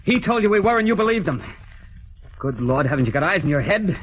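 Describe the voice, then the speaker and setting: A man's voice: high-pitched, big pitch swings, a little fast, a little hiss or hum. An actor playing an earnest, trapped young man in a 1940s radio drama, often breaking off mid-sentence. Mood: frantic, indignant. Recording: muffled vintage radio, music behind.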